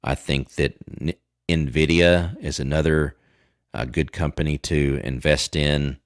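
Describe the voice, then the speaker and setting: Man, forties, Southern Texas accent, extremely deep voice, rambling casual chat, dry close sound. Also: steady tone, a little slow, no room sound. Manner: rambling, many fillers and restarts. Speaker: livestream host.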